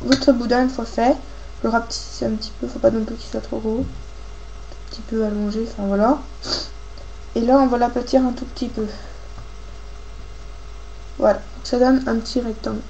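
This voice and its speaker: Woman, craft tutorial host, higher voice, congested with a cold, informal and halting, noisy homemade recording. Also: steady tone, creaky, dark tone, dull audio. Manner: informal, halting, thinking aloud. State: has a cold, coughing. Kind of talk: casual hands-on tutorial, talking while working.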